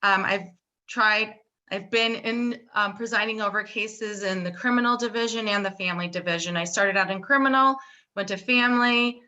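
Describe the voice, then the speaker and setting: A woman in her forties speaks over a video call with a bright, clear tone. She introduces herself as a judge running for office, earnest and fluent, dropping in frequent ums. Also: medium pitch, moderate pace, dull audio. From born in Puerto Rico, raised in Chicago area. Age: 40s. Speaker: judge, candidate.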